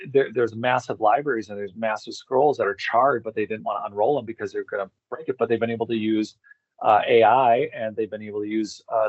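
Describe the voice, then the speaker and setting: A man in a casual speculative discussion, theorizing in a rambling, animated way. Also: on the low side, dry recording, dull audio.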